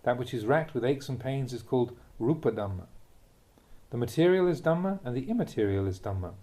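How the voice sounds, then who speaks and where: Guy reading a buddhist talk like an audiobook, relaxed dark tone, lots of up and down, deliberate phrasing. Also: mid-range voice. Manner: measured, deliberate phrasing in short clauses. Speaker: monk reading a Buddhist teaching aloud. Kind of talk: read-aloud dharma talk, audiobook narration.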